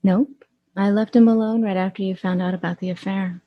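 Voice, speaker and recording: A woman acting in an audio drama as a bold, flirtatious, gossipy best friend with a sassy, expressive conversational manner. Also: medium pitch, a little fast, lo-fi sound, lightly acted. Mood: teasing, flirtatious.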